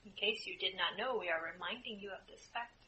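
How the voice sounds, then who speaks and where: A woman leading a guided group meditation as a spiritual channeler, speaking in measured, deliberate phrases with frequent pauses. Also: medium pitch, a crowd in the background, poor audio. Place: indoor room, live group setting. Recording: live group room, clear background noise.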